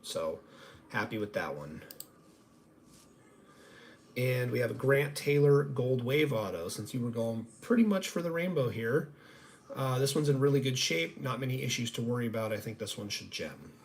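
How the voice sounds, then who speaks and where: Slightly nasal guy doing a casual card reveal stream, middle pitch, a bit of background noise. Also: moderate pace. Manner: conversational, self-deprecating. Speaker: sports card grading reveal host. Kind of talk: casual live-stream commentary on trading cards. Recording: noticeable steady background noise.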